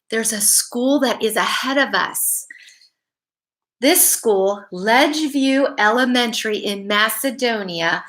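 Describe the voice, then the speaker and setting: Woman, children's story-time host. Higher-pitched, very bright voice, unhurried read-aloud delivery with questions to the kids. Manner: addresses young listeners with simple questions.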